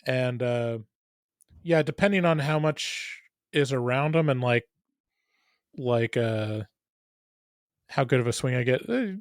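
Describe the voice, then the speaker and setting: A man's voice: mid-range voice, no echo, studio quality. A man co-hosting a podcast, talking casually and tentatively as he thinks aloud. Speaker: podcast co-host.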